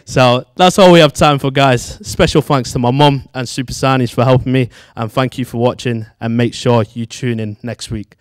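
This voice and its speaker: A man's voice: mid-range voice, steady tone, normal speed, few pauses, clear, resonant tone. A man in his twenties with a Manchester accent, chattily hosting and interviewing on a handheld microphone. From Manchester, England. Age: 20s. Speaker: studio show host. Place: dark studio theatre with tiered seats.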